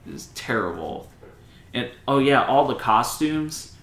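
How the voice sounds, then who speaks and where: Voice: mid-range voice, lively intonation, slow, fairly bright tone. Echoey, sweary casual podcast co-host. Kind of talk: casual chat about movies and video games. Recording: roomy echo with faint noise.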